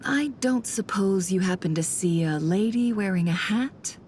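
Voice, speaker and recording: A woman's voice: medium pitch, lively intonation, normal speed, darkish tone, a little hiss or hum, close to an everyday voice. A woman playing a shrewd, composed traveler in a video game, speaking politely and with calculation. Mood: curious, polite. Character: shrewd woman traveler posing as antiques smuggler. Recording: music under the voice.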